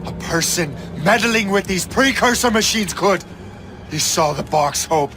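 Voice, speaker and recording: A man's voice: high voice, animated, a little slow. A man playing a hot-blooded, brooding adventurer assassin in a dramatic video game cutscene. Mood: outraged, accusing. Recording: orchestral music behind the voice.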